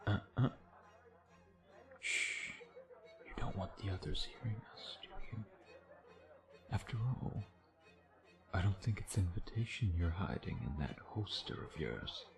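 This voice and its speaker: A man's voice: low voice, lively intonation, measured pace, creaky, long pauses, over music, heavily acted. A man playing a suave, predatory vampire in an intimate ASMR roleplay, taunting in a sardonic, flirtatious way with murmured asides. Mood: teasing menace. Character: suave, teasing, predatory male vampire, playfully menacing.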